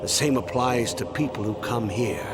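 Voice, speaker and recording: A man acting a somber, embittered townsman bound to his ancestral land, speaking gravely and earnestly in a video game drama. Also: medium pitch, moderate pace, heavy background noise, lightly acted. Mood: grave, resigned. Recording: music behind the voice.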